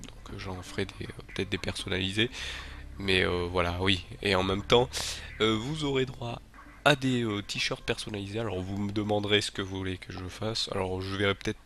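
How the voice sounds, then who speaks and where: A man's voice: fairly low pitch, pushed and bright. Man with a cold, rambling. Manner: rambling, hesitant, many filler sounds and restarts. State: has a head cold. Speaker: channel host. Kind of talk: casual video announcement to viewers.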